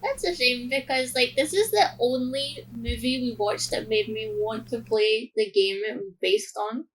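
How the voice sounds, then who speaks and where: A woman's voice: fairly high pitch, a little slow, poor audio. A young adult woman in casual podcast chat, with hesitant, filler-heavy phrasing. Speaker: podcast panelist.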